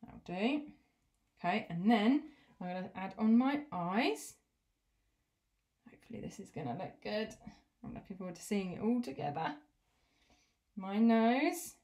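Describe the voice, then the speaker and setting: A young woman teacher in her home kitchen, gently explaining each cooking step to children as she works. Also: mid-range voice, expressive, a little slow, pauses often.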